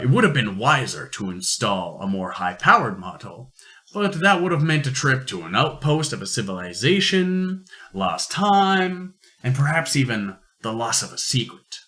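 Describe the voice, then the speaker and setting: Bright-voiced man narrating science fiction. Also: mid-range voice, sing-song, moderate pace. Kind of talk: scripted narration of sci-fi radio play.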